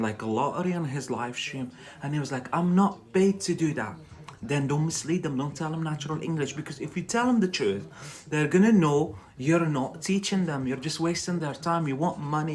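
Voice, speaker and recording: Scottish guy teaching english live, rambly, keeps asking yeah?, mid pitch. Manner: chatty, repeats "yeah?" as a tag. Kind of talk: live-streamed English lesson.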